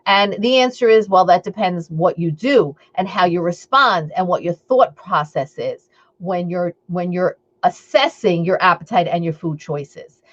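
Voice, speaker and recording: A woman's voice: on the low side, expressive, unhurried, dull audio. A woman with a Long Island accent hosting a live stream as an eating coach, chatting upbeat and rambling with lots of fillers and asides to her viewers. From Long Island, New York.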